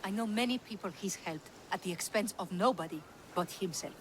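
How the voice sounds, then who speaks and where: A woman plays a weary, guarded runaway wife in a video game cutscene. Her mid-pitched voice is composed and earnest. There is faint ambient noise behind it. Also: a little fast, lightly acted, low-quality recording. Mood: grateful, earnest.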